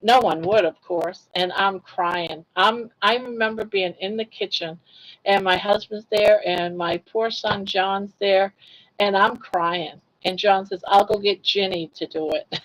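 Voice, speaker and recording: A woman's voice: medium pitch, steady tone, a little slow, rough recording. Chatty older woman laughing through stories. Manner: chatty, laughs often, reads chat comments aloud. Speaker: livestream host. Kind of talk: casual livestream storytelling.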